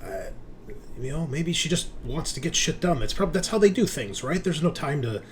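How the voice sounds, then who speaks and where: A man's voice: on the high side, big pitch swings, normal speed. A man running a tabletop roleplaying game, moving between conversational rulings and improvised scene narration. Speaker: game master. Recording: clear background noise.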